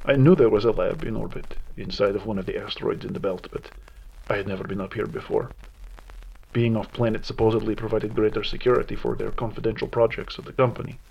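A man's voice: lowish voice, fairly even intonation, fast talker, gravelly, a little mellow, husky, muffled recording. A man playing a grim, weary veteran security commander, solemnly recording a formal military log in an audio drama. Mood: weary, resolute.

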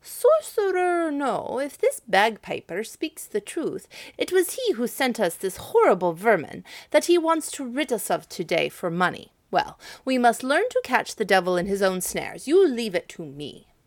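A woman's voice: on the high side, sing-song, measured pace, hardly any pauses, no echo, pitched above the performer's own voice. A woman voicing a clever, scheming middle-aged male town councillor who talks with shrewd self-assurance.

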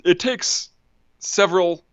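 A man's voice: high voice, takes it slowly, smooth, no room sound, highs cut off. A man, an ancient historian, talking as a podcast guest, thinking aloud with lots of ums and restarts. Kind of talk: casual academic discussion.

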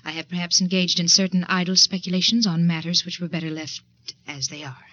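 A woman's voice: lowish voice, little pitch movement, a little fast, pushed and bright, no room sound. An actress with an American accent playing a prim, resentful secretary in a 1940s radio suspense drama, formal and precise in her speech. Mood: coldly evasive. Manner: formal, precise, icily polite. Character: prim, resentful female secretary, coolly insinuating. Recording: dull, dry 1940s radio recording.

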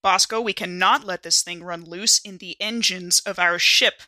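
Female player, bright tone, lively, dry close sound. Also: fairly low pitch, normal speed, dry recording. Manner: excitable, laughs often. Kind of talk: casual tabletop game talk.

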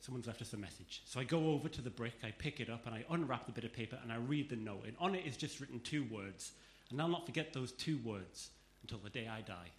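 A man's voice: medium pitch, expressive, fast talker, echoey room. A man telling a wry story in a stand-up set. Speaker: stand-up comedian. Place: comedy club stage.

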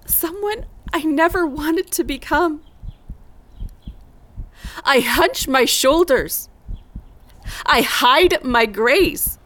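A woman acting a wronged, resolute middle-aged wife in a poetic audio drama monologue, intense and deliberate. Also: sits high, fairly bright tone, exaggerated voice. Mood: bitter, resolute. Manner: slow, deliberate, intense delivery.

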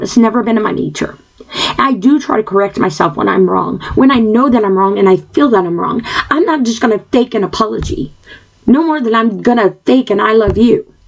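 A woman at home talking straight into her computer microphone, earnest and passionate, drifting from point to point. She fills her pauses with ums and keeps clearing her dry throat. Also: mid-range voice, poor audio. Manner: earnest, sidetracked, frequent fillers and throat clearing. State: dry mouth. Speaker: podcast host. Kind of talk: rambling personal monologue.

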